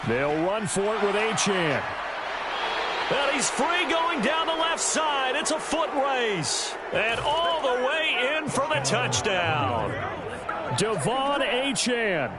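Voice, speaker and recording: A man's voice: very high-pitched, sing-song, takes it slowly. A man with an American accent calling football play-by-play in a polished broadcast style. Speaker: play-by-play sports commentator. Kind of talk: American football video game commentary. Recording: loud game noise and music behind.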